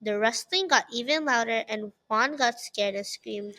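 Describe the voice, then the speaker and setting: Higher-pitched woman reading a children's story. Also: a little slow, sharp tone. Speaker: author reading own story. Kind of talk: scripted children's story narration.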